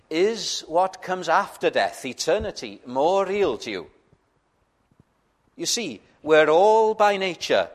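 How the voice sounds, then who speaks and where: A man whose first language is Welsh, preaching a sermon in a church in a slow, earnest way with frequent hesitations. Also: mid-range voice, a bit bright.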